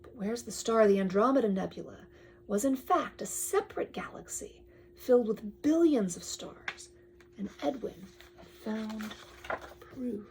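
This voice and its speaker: A woman reading aloud to a child with chatty asides, expressive and full of wonder, often dropping to a whisper. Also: on the high side, unhurried, vocal fry, slight background noise, low-quality recording.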